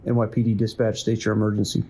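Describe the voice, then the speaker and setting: An actor playing a weary, steady New York police dispatcher in an audio drama, speaking in a measured, procedural way. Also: male voice, lowish voice, breathy edge. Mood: calm, procedural.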